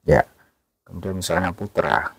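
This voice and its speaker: Male tutorial host, low and gravelly, relaxed and chatty with lots of fillers. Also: moderate pace, dry recording. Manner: relaxed, repeats "ya" and fillers often. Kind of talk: casual screen-recorded app tutorial.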